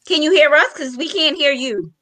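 Woman, 38, talk show co-host; emphatic, playful, fairly high pitch. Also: moderate pace. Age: 30s. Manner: animated, joking, emphatic. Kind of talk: casual talk show chat.

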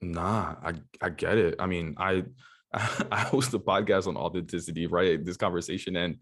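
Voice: deep voice, fairly even intonation, moderate pace. A podcast host chatting casually, affirming the guest and asking questions with many restarts. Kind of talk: casual interview conversation.

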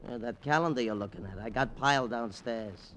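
A man acts out a gruff, nosy janitor from a vintage radio crime play. He grumbles in rough working-class phrasing and stammers as he thinks, at a middling pitch. The old recording is clearly noisy. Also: a little fast, a bit bright, close to an everyday voice. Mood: grudging, shifty. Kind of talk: old-time radio crime drama acting.

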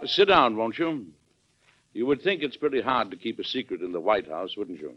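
An actor playing a genial, unflappable older American president in a folksy, chuckling old radio drama style. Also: male voice, lowish voice, expressive, moderate pace, over music, natural voice. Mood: folksy, confiding. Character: genial, unflappable older male US president telling his own story. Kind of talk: 1940s radio drama acting. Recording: dull old radio broadcast recording.

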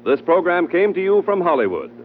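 High, animated American radio announcer man. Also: a little fast, narrow, tinny audio. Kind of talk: 1940s radio announcing, scripted credits. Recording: band-limited old radio recording, music behind.

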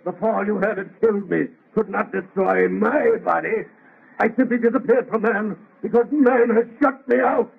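Man-made monster, raspy and theatrical, bitter and lonely, vintage radio horror on lo-fi narrow sound. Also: high-pitched, fairly even intonation, normal speed, full character voice. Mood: bitter reproach. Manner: declamatory, anguished, theatrical. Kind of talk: 1930s radio horror acting. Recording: muffled, lo-fi vintage radio recording.

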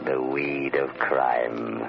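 An actor playing a sinister, mocking avenger of crime, menacing and drawn-out, in an old-time radio drama on a muffled recording. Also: male voice, very deep voice, vocal fry, exaggerated voice. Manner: slow, menacing, laughing. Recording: muffled vintage radio recording, loud music.

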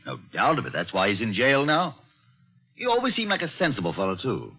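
A man's voice: medium pitch, huge pitch swings, fairly quick, sharp tone, slightly rough, heavily acted. An actor playing a chatty, genteel colonial banker in a hearty old-boy manner, in 1940s radio drama style. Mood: gossipy, intrigued. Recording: muffled, worn 1940s radio broadcast.

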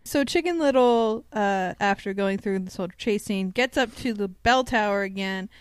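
A woman's voice: mid-range voice, normal speed, clean tone, dry recording. A woman co-hosting a podcast, casually recapping a film in a sweary, sarcastic, irreverent way. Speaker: podcast co-host.

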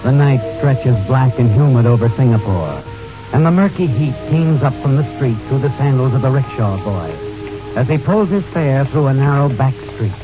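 Prominent music sits behind a muffled old radio recording of a male narrator. He tells a suspense story in an ominous, dramatic scripted style, at an average male pitch. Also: expressive, normal speed. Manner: ominous, addresses the character as "you".

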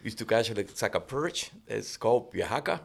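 A man, a guest telling fishing stories in a relaxed way with frequent restarts. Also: fairly low pitch, a little fast, creaky. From Cuba. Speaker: guest angler. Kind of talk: casual podcast interview.

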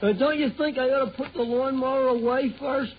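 A man's voice: very high voice, fairly even intonation, a little fast. A reluctant, doubtful teenage boy protests in 1940s radio sitcom style. The recording is dull, with faint noise behind it. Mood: sheepish, protesting. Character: cautious, reluctant teenage boy, Henry's friend Herbert. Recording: muffled, noisy 1940 radio recording.

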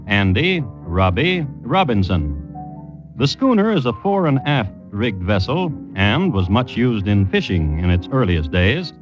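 A wry, macabre host of vintage radio crime tales. He narrates deliberately in a mid-pitched male voice with wide, expressive swings. The recording is muffled, with music clearly behind him. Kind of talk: old-time radio scripted narration.

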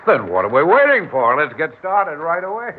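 An American actor playing a genial, avuncular middle-aged outgoing mayor in a classic radio drama. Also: male voice, fairly high pitch, sing-song, moderate pace, a little hiss or hum. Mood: jovial. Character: genial, hearty outgoing small-town mayor, generous and avuncular. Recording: tinny old radio recording, faint music.

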